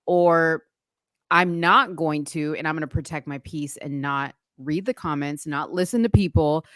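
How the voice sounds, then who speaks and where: A woman's voice: low-pitched, a little slow, a bit bright, no echo, studio quality. A woman hosting a podcast, talking frankly and probing her guest, stretching words for emphasis. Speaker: podcast host. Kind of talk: direct podcast conversation.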